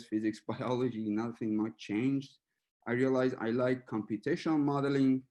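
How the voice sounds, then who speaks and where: Iranian-born man giving a relaxed webinar talk. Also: medium pitch. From Iran. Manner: relaxed, searching for words, with small laughs. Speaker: machine learning researcher giving a webinar.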